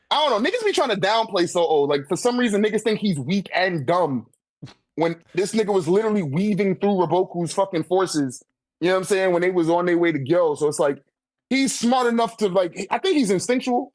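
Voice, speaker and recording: Man, American accent, slightly high voice, casual discussion, heavy slang and swearing, conversational fillers, dry room-free sound. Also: dry recording. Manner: slangy, profane, repeats "like" and "you know what I'm saying". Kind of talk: casual chat, fan debate.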